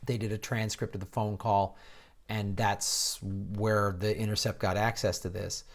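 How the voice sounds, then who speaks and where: Exasperated American man, halting political rant. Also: fairly low pitch, little pitch movement. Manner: exasperated, incredulous, mocking; halting, with repeated false starts. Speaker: host of a political commentary show. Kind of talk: casual political commentary with read-out quotes.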